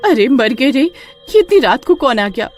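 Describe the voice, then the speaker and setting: A woman voicing an excitable, easily frightened young village wife who speaks deferentially to her husband in a horror story. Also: high voice, unhurried, over music, pitched above the performer's own voice. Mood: frightened, alarmed. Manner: exclaiming, addresses husband with wifely deference. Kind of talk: dramatised Hindi horror story.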